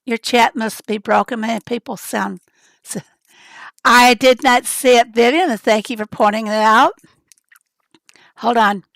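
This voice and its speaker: A woman hosting a casual livestream, chatty and rambling as she greets viewers from the chat, her voice raspy and hoarse from a summer cold. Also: on the high side, a little slow, pauses often, fairly bright tone, dry recording. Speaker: livestream host.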